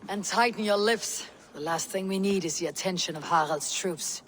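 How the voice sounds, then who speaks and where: A woman's voice: on the low side, normal speed, noisy background, natural voice. A woman acting a fierce, stoic Viking warrior in a game cutscene, with terse, formal speech. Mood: firm.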